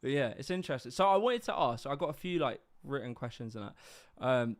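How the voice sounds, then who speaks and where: Young man, multicultural London accent, casual host, rambling, filler-heavy, mid pitch. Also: expressive, a little fast. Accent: mixed multicultural London. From Britain. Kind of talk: casual livestream interview.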